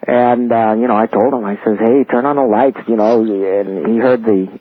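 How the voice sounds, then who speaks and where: A man's voice: lowish voice, steady tone, moderate pace, no echo. Man on a tinny phone line. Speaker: radio show caller. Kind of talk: casual personal ghost story. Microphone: telephone.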